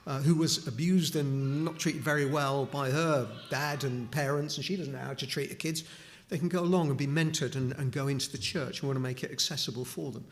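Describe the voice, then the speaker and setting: A man's voice: medium pitch, steady tone, brisk. A middle-aged man from Newcastle, giving an informal charity talk to a church congregation with many restarts and asides. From Newcastle upon Tyne, England. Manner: conversational, with frequent restarts and asides. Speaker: charity speaker at a chapel.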